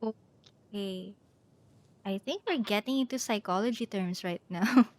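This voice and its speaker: A woman's voice: mid-range voice, unhurried, a little mellow, no room sound. A woman game streamer giving casual, reactive live commentary on a story game, prone to giggles.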